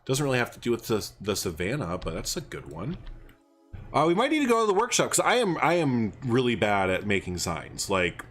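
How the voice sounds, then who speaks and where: A man's voice: mid-range voice, wildly swooping pitch, fairly quick, fairly bright tone, soft background music. A man casually chatting on a gaming livestream, rambling and thinking aloud, a bit stuffed up. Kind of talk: casual gameplay livestream chat.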